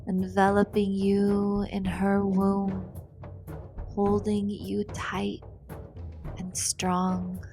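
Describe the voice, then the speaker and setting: A woman narrates a guided meditation in short, soothing phrases with long pauses. Her delivery is extremely slow and flat in pitch, with a creaky edge and breathy phrase endings. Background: meditation music.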